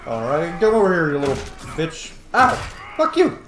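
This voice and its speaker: Animated man doing roomy gaming commentary. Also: a little high, normal speed, a little hiss or hum. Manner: jokey, sweary, excitable banter at the screen. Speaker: game streamer, let's player. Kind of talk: casual gameplay commentary.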